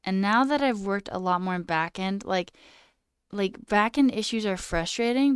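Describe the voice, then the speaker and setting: A woman's voice: medium pitch, clear, resonant tone, no echo, studio quality. A young woman with a slight sore throat, casually chatting on a live coding stream, reading chat aloud and muttering at her code. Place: small room indoors.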